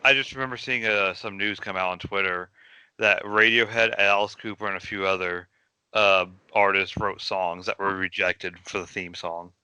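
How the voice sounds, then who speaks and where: A relaxed middle-aged man chatting on a podcast, his low voice very bright and clean. He trails into fillers as he thinks, recorded dry and close. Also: moderate pace, smooth, no room sound. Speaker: podcast co-host. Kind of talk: casual chat.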